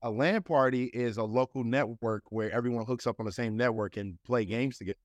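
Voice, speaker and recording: A man's voice: medium pitch, fairly quick, dry recording. Rambling man in his thirties, dry sound. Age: 30s. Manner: loose, rambling, heavy with fillers like "you know" and "like". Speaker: interview guest. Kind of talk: casual conversational interview.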